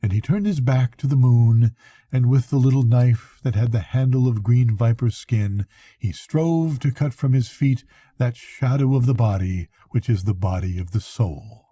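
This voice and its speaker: An older man narrates a bedtime story with a very mellow, husky voice. He reads solemnly and takes his time, in a dry, close sound. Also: mid-range voice, moderate pace, no room sound. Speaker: storyteller reading to grandchildren.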